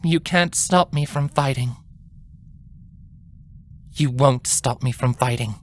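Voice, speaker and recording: A man voicing a nervous but resolute younger sister, a pony soldier, speaking quietly in a fan fiction story. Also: mid-range voice, slow, slight background noise, pitched above the performer's own voice, natural voice. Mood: nervous defiance. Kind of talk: fan fiction character reading.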